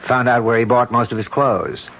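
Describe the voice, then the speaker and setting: An actor playing a dogged, plain-spoken police detective, speaking in matter-of-fact clipped phrases in an old-time radio mystery. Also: male voice, mid-range voice, normal speed, vocal fry, fairly bright tone. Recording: dull old radio broadcast.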